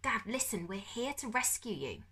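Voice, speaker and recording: A woman's voice: medium pitch, very animated, moderate pace, bright, forceful tone, voice pushed up. A woman voicing a brave, whispering young boy. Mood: reassuring. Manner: whispering urgently. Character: brave young boy on a rescue, urgent and hushed. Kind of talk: audiobook character voice.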